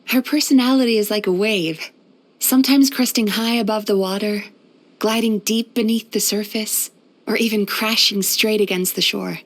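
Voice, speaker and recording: A woman playing a gentle, composed yokai therapist in a video game, soft-spoken and measured. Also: medium pitch, fairly even intonation, lightly acted. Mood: warm, amused. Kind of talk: video game character voice lines.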